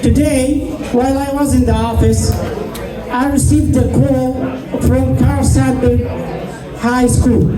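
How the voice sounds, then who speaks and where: A man with a high voice, an imam addressing election candidates at a community event, speaking haltingly and repeating phrases as he finds his words. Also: little pitch movement, music in the background. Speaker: imam of a prayer center. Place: large indoor hall at a public event. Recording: echoey hall, distant mic, crowd murmur.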